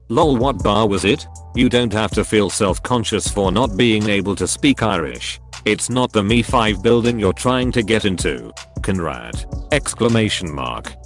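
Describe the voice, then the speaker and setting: Animated male story narrator, music behind. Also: on the low side. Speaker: narrator reading online stories. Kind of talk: scripted narration of forum posts.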